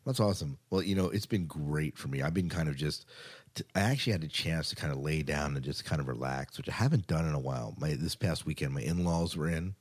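Very low-pitched American man, chatty podcast host. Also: lively intonation, quick pace, husky. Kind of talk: casual podcast chat and show rundown.

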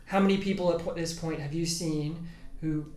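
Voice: mid-range voice, fairly even intonation, moderate pace, a little mellow. A podcast host chatting in a rambling, emphatic, informal way, filling sentences with "like" and "you know". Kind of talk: casual conversational interview. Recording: roomy echo with clear background noise.

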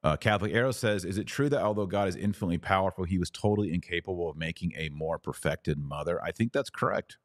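A man's voice: low voice, fast talker, hardly any pauses, no echo, clean studio sound. A man speaking as a podcast host, teaching about religion conversationally and answering questions in a didactic, emphatic way.

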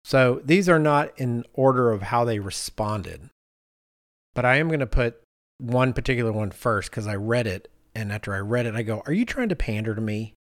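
Man, fifties, podcast host, conversational delivery, medium pitch, very little room sound. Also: animated, normal speed, dry recording, studio quality. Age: 50s. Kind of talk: conversational discussion, reading out responses.

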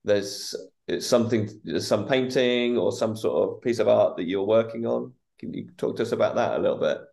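A man's voice: on the low side, normal speed, gravelly, darkish tone. A man hosting a podcast, asking rambling questions with restarts in a casual interview. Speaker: podcast host.

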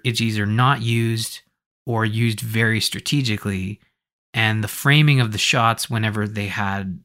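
A man's voice: on the low side, pushed and bright. A man hosting a podcast, discussing films in a casual, conversational and hedging way. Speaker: podcast host.

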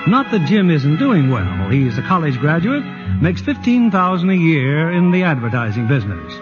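Male radio-play narrator, expressive scripted read over clear music, dull vintage broadcast sound. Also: on the high side, moderate pace.

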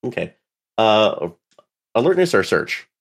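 A man's voice: fairly low pitch, big pitch swings, a little slow, vocal fry, slight background noise. A man playing a tabletop game, chatting casually as he takes his turns.

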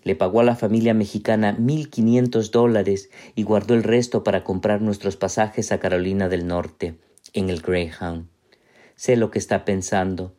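Man reading as a teenage girl narrator, calm measured reading, low voice. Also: lightly acted. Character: teenage girl narrating her own past. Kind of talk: read-aloud short story.

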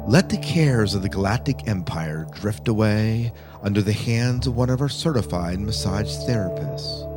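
A man reading a scripted parody radio advert as a smooth spa announcer. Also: fairly low pitch.

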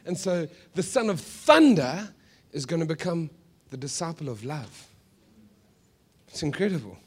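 A South African man preaching conversationally to a congregation, animated, with joking asides and rhetorical questions. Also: a little high, unhurried, husky. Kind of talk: sermon to a live congregation, conversational storytelling.

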